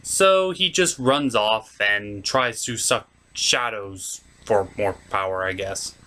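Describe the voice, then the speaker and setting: A man's voice: on the low side, takes it slowly, pushed and bright. Male comedy reviewer: offhand, rambling delivery, sardonic asides, casual host feel. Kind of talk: casual comic review commentary.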